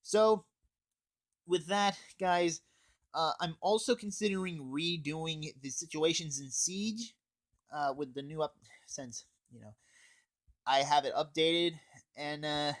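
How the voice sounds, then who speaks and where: A man's voice: a little high, takes it slowly, long pauses, fairly bright tone. A young man giving a casual, scripted anime review, talking informally and swearing now and then. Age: teens or young adult. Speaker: video reviewer.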